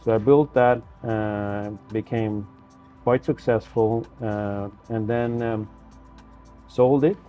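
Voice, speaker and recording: A man's voice: lowish voice, steady tone, slow pace, narrow, tinny audio, faint music behind. A man from Sweden, an investor telling his story in an interview, repeating words and often adding "you know". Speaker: investor, guest. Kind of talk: casual interview talk.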